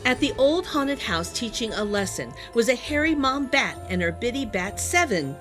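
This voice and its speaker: A woman reading a children's picture book aloud in an animated, sing-song storytelling manner. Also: a little high, moderate pace. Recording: music playing behind the voice. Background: music.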